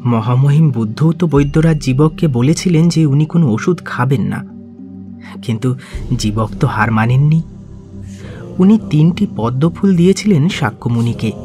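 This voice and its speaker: A man's voice: mid-range voice, close to an everyday voice. A man playing a clever, determined young monk student in an audio drama, speaking earnestly and expressively. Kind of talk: Bengali audio story acting. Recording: prominent music behind the voice.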